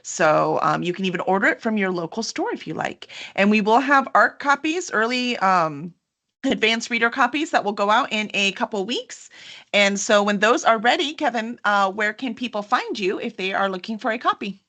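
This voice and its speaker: A woman's voice: medium pitch, animated, moderate pace, sharp tone. A woman hosting an informal book chat, effusive and conversational as she speaks. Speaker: host promoting a book release. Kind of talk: casual live chat.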